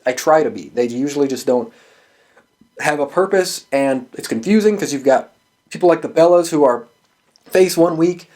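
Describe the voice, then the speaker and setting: A man with a somewhat higher-pitched voice speaks as the host of a commentary show. He is casual and opinionated, talking off the cuff. His delivery is emphatic and freely profane. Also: lively intonation, moderate pace, a little mellow. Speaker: host of a wrestling prediction show.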